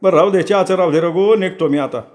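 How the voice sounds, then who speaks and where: A man voicing a chatty, boastful male farmhand who talks in loud, coaxing banter. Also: high voice, quick pace, pitched above the performer's own voice, lightly acted. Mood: boisterous, coaxing.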